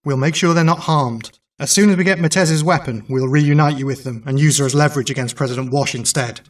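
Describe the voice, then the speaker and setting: A man's voice: on the high side, brisk, no echo, natural voice. A man playing a principled, desperate but humane rebel cell leader in an audio drama, speaking seriously and earnestly. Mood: determined.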